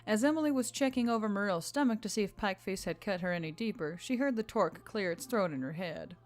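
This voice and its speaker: A woman's voice: medium pitch, lively intonation, fast. A woman reading scripted fiction as a story narrator. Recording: background music under the voice.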